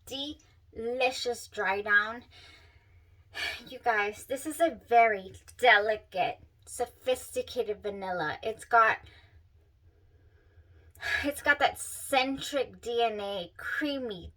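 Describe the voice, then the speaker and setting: A woman's voice: on the high side, sharp tone, recorded from a distance, poor audio. Nasal, stuffed-up woman gushing. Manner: gushing, breathy sighs over scents. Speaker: perfume reviewer, channel host. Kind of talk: casual talk to camera.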